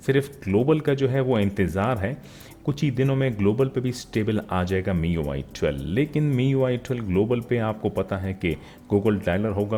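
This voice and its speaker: A man's voice: on the low side, warm tone. A man giving an informal tech walkthrough as a vlogger, mixing English words and phrases into his speech. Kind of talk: informal phone update walkthrough narration.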